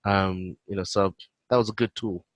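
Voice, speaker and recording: A British man on a video call, explaining his work in a relaxed way with frequent fillers. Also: sits low, moderate pace, no echo. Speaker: guest, head of operations.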